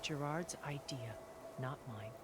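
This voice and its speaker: An actress voicing a cultured, centuries-old woman recluse in a video game cutscene, speaking in a calm, deliberate and elegant way. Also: rumbling low, noisy background. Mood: measured. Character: cultured, ancient woman recluse, measured and regretful. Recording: game cutscene with music underneath.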